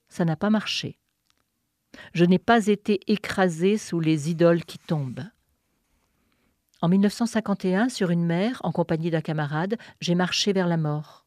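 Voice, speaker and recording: Woman radio host, poetry reading, low voice, measured and deliberate, dry studio sound. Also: a little mellow, no room sound. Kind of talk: scripted narration and poetry reading.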